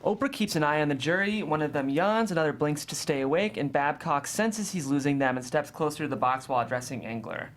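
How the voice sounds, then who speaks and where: Higher-pitched man narrating a script. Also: expressive, brisk, few pauses. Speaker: narrator reading stage directions. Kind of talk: scripted narration at a table read.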